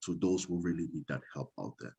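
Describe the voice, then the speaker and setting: A man's voice: a bass voice, brisk, creaky, dark tone. A young man from Cameroon speaking as a guest in a casual interview, hesitant, with many fillers and restarts. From Cameroon, Northwest. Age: young adult.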